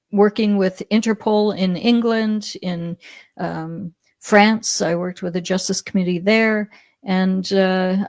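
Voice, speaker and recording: A middle-aged Canadian woman telling her story as an interview guest, animated, often laughing, with many restarts. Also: medium pitch, dry recording. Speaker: podcast guest.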